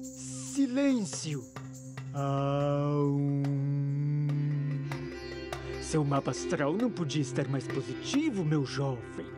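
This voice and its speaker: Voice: mid-range voice, very animated, slow pace, long pauses, music in the background. An actor voicing a solemn, mystical bread fortune-teller in a cartoon, theatrical. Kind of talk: animated cartoon dialogue.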